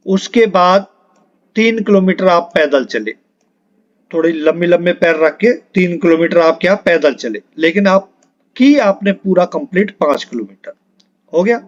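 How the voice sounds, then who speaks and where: A man coaching students in an informal, instructive way, repeating key points and often adding short check-in tags. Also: sits high. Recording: dull lo-fi audio.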